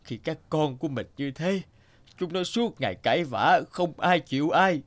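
Man acting a weary, gentle elderly father. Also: fairly high pitch, animated, moderate pace, lightly acted. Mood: sorrowful. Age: older. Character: elderly widowed father, weary, gentle, worried about quarrelling sons. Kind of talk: animated folk tale dubbing.

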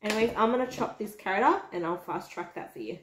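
A woman's voice: medium pitch, lively intonation, fast, slight background noise. Brief: Australian woman, home cooking vlogger, chatty step-by-step talk, roomy kitchen sound. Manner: chatty, step-by-step, addresses viewers as guys. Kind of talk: casual cooking narration to camera.

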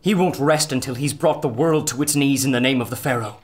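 An actor playing an excitable, learned doctor of antiquities in a pulp radio adventure, theatrical and scholarly with an anxious edge. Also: medium pitch, a little fast, natural voice. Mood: grave, scholarly.

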